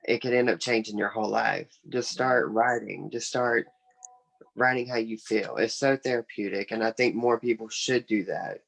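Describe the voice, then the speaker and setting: A man's voice: fairly low pitch, steady tone, normal speed, creaky, fairly bright tone, rough recording. A young man from South Carolina speaking casually and earnestly as an author being interviewed. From South Carolina, United States. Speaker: guest author.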